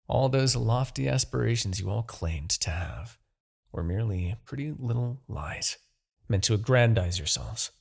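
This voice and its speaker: A man playing a smug, menacing demon king, obsessed with his rival, in a theatrical, deliberate fantasy roleplay monologue with a husky, gravelly voice. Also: mid-range voice, darkish tone, exaggerated voice. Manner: theatrical, gloating, deliberate, grandiose.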